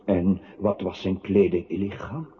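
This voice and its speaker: A deliberate radio play narrator, lowish voice, kinda muffled old tape. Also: male voice, level tone, a little hiss or hum. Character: male narrator commenting in a literary choral radio play. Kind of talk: literary radio drama narration.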